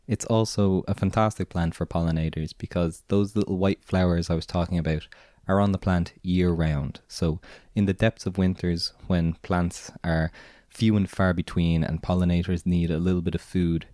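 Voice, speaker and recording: A raspy Irish man, hoarse from a cold, talks through his podcast in a deep, mellow voice. He keeps a flat, even tone and meanders through his points with plenty of filler words, recorded close and dry. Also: moderate pace, dry recording. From Ireland. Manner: relaxed, meandering, many "kind of" fillers. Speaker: podcast host and gardener. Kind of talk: casual spoken monologue.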